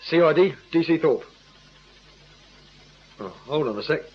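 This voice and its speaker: An actor playing a flustered, deferential junior detective constable in a radio drama, speaking hesitantly. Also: male voice, a little high, animated, measured pace. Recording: dull, hissy radio recording.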